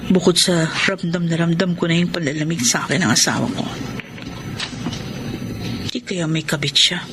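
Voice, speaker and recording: A woman's voice: fairly low pitch, fairly even intonation, moderate pace, lightly acted. A woman playing a defiant, emotional wife and mother in a melodramatic Tagalog radio drama. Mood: brooding, suspicious. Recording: noticeable background noise and ambience.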